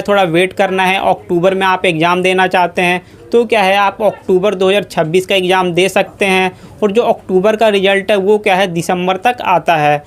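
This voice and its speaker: Male educational explainer, methodical and repetitive, addressing students step by step. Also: high voice. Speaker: educational YouTuber advising students.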